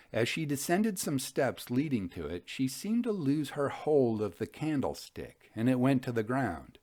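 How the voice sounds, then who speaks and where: A man hosting a storytelling show, reading old ghost stories aloud in an expressive, dramatic narrating style. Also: medium pitch.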